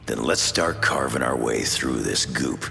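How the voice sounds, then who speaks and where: An extremely deep, gravel-throated brawler from a video game. He is gruff and terse, with a hard, threatening edge, in long reverb. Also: animated, a little slow, bright, forceful tone, exaggerated voice. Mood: gruff defiance. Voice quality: very gravelly growl. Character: gruff, tough, battle-hungry brawler hero. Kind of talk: video game character dialogue. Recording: long reverb, faint music.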